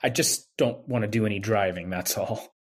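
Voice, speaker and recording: A man's voice: on the low side, normal speed, slightly rough. A man playing a mild young hospital intern in a radio drama, casual and agreeable. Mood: easygoing, agreeable. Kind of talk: old-time radio drama acting.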